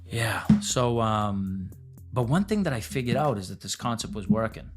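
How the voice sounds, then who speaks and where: A man's voice: on the low side, animated, moderate pace, over loud music. A man in his forties telling his business story as a podcast guest, blunt and casual, swearing freely. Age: 40s. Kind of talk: candid storytelling interview.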